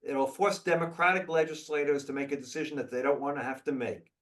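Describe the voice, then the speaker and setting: A man hosts a live question session over a video call, speaking bluntly and trading opinions with frequent restarts. His voice sits a little high for a man. The call audio makes him sound somewhat distant from the microphone. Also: steady tone, quick pace, fairly bright tone. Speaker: host of a video call briefing.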